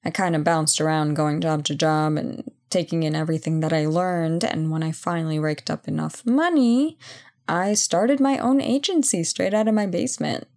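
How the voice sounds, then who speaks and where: Woman playing a low-pitched, wry female CEO. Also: moderate pace, darkish tone. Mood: proud, playful. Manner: casual, chatty, wry asides. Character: brisk, wry, playful female CEO running a job interview. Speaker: interviewer. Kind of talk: ASMR roleplay, one-sided conversation.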